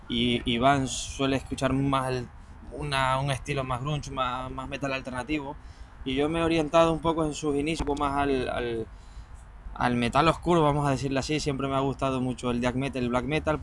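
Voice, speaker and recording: Portuguese-born man, band drummer, halting answers, mid-pitched, faint noise behind. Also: fairly bright tone. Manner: hesitant, repeats words. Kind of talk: casual interview answers.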